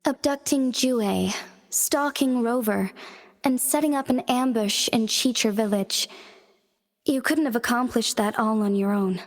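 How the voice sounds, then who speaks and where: An actress playing a calm, dignified young female magistrate in a game cutscene, speaking in a composed, formal and measured way. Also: fairly high pitch, in a large hall. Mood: stern. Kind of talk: video game cutscene voice acting.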